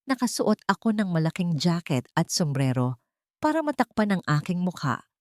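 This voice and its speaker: A woman's voice: lowish voice, sing-song, normal speed, dry recording, studio quality. A woman reading a scripted, dramatic first-person story as an audio narrator.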